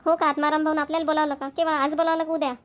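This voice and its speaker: A woman's voice: high voice, little pitch movement, very fast, lightly acted. A woman voicing an eager, practical village wife in a homemade cartoon, chatty and insistent in Marathi with a Vidarbha accent. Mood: curious.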